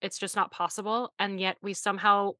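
Candid woman host, bright edgy voice, dry close sound. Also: medium pitch, flat delivery, dry recording. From United States. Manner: candid, emphatic, quick asides. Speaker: podcast host. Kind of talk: casual conversation.

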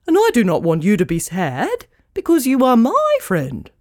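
A woman voicing a proud, haughty female pelican in a storybook, speaking formally, slowly and emphatically. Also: mid-range voice, wildly swooping pitch, darkish tone, no room sound, studio quality. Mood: indignant.

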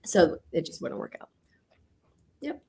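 A woman, chatting casually as a guest who owns a house, talking through a voice she is losing, joking often and filling pauses with ums. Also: echoey room, noisy background. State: losing her voice. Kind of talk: casual livestream chat.

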